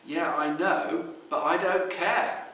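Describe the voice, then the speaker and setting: Man as defiant British teenage girl, book reading, grudging emphasis, lifted pitch, distant mic. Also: fairly even intonation, slow pace, sharp tone. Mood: sullen. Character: defiant, fed-up teenage schoolgirl. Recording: roomy, distant mic, faint noise.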